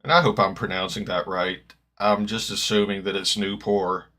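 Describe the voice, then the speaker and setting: A man with a slightly gravelly voice, chatting casually as he reacts live to a football match, rambling and correcting himself as he goes. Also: sits low, flat delivery, moderate pace, bright, forceful tone. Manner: rambling, self-correcting, hopeful fan. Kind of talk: casual live-stream chat.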